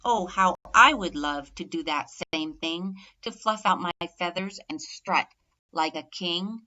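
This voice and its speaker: A woman's voice: fairly low pitch, a little slow, muffled recording. A woman voicing an eager, plucky young male turkey in a children's storybook, speaking in earnest, emphatic rhyme. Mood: wistful, eager. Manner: earnest, excitable, emphatic rhyming delivery.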